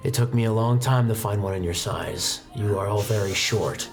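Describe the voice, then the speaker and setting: A game master voicing a stern but kindly male sword master, speaking in a measured, solemn, instructing way. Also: sits low. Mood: gently gruff. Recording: music bed under the voice.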